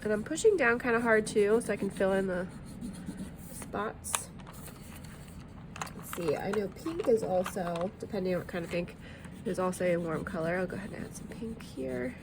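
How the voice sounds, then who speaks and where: A woman's voice: medium pitch, expressive, moderate pace, noisy background. A woman art teacher talking through a drawing tutorial in a friendly, chatty way, a bit sniffly from allergies, with plenty of ums.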